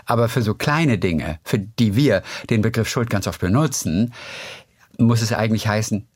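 A man interviewing a guest, calm and affirming, offering reflective remarks. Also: lowish voice, moderate pace, no echo, clean studio sound. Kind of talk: interview conversation.

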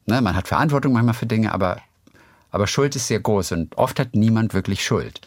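A man interviewing a guest, calm and affirming, offering reflective remarks. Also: lowish voice, a little fast, no echo. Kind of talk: interview conversation.

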